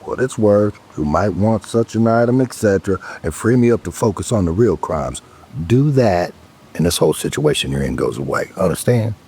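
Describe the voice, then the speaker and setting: Deep, gruff, sardonic detective. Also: male voice, expressive, normal speed, a little mellow, full character voice. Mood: brusque. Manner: curt, sardonic. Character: gruff world-weary male police detective, sardonic. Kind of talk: audio drama acting.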